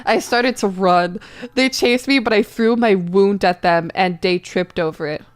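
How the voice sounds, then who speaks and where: A woman's voice: mid-range voice, a little slow. A woman reading a story aloud comedically, with casual banter as a co-reader on a live stream.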